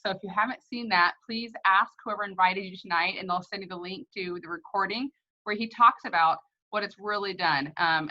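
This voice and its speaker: Casting: female host, bright edge to the voice, gushing and informal, slightly dull online sound. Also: mid-range voice. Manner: effusive, informal. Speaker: host of an online story-time meeting. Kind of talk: casual hosting, introducing speakers.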